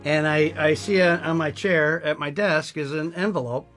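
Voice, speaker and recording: A man's voice: fairly high pitch, normal speed, fairly bright tone, soft background music. A man chatting casually as an interview guest, a producer telling stories in a joking, wisecracking way. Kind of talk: casual chat.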